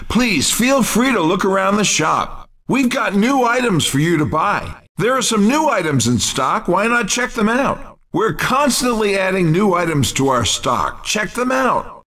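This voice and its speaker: A man voicing an upbeat, excitable racing video game announcer with a punchy, showy, cheerful delivery. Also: sits high, recorded from a distance, heavily acted. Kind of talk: video game announcing, race commentary and shop greetings.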